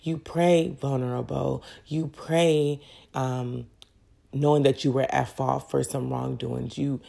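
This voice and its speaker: Very low, gravelly woman podcaster. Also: steady tone, slow pace, mellow. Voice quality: crackly. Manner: earnest, personal, encouraging. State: congested with a cold. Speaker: podcast host. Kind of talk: faith podcast talk.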